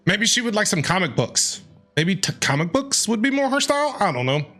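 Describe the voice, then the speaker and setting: A bright, forceful game streamer with a voice of middling pitch that swings widely. The delivery is casual and playful, reacting to the game and reading text aloud. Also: very animated, brisk. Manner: playful, reactive, talks back to game characters. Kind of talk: casual live gameplay commentary and reading aloud.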